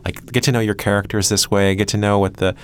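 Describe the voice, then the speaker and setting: A man's voice: on the low side, fairly even intonation, quick pace, slight background noise. A man who produces a podcast, explaining his work reflectively in an interview with hesitant, thoughtful phrasing.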